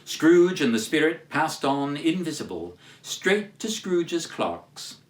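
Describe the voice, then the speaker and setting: A man giving a dramatic literary reading as a storyteller, with theatrical, emphatic, measured phrasing. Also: on the high side, big pitch swings. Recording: roomy echo, distant microphone.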